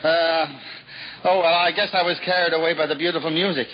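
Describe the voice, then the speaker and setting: An earnest, apologetic old juggler turned lay brother, played by a man in a 1950s radio play. His voice sits a little high and he stumbles over his words. The broadcast sounds dull, with faint hiss behind it. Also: little pitch movement, brisk, close to an everyday voice. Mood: eager. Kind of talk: 1950s radio drama acting.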